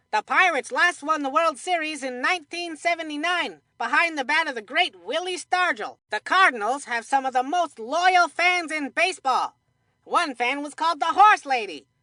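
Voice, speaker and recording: Casting: chatty child sportscaster in a cartoon video game, bright high voice, upbeat trivia-buff delivery, dry studio sound. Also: female voice, no echo. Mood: chatty, amused. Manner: chatty, folksy. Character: chatty kid baseball commentator, cheerful trivia buff. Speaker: sports commentator. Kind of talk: scripted game commentary, baseball trivia.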